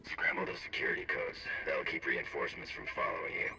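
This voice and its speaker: An actor playing a gruff, battle-weary military planner in a video game, gravelly and clipped as he briefs. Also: extremely low pitch, fairly even intonation, quick pace. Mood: urgent. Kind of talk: video game cutscene acting. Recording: echoing sound, music underneath.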